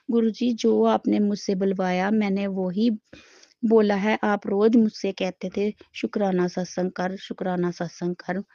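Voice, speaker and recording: Slow, mellow middle-aged woman with a sore throat. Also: medium pitch, dry recording, muffled recording. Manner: slow, devout storytelling with frequent restarts. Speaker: devotee sharing a testimony. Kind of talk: personal spiritual testimony.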